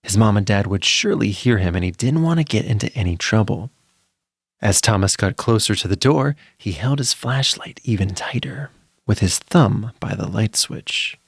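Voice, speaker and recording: A man's voice: lowish voice, expressive, normal speed, gravelly, breathy edge, no room sound, studio quality. A man narrating a scripted children's story in a dramatic, suspenseful manner.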